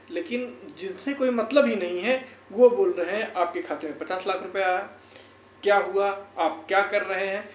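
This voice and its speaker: A man speaking to viewers in an earnest, exhortative way, repeating phrases for emphasis in a motivational talk. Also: high voice. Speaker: YouTuber and educator. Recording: echoey room, faint background noise.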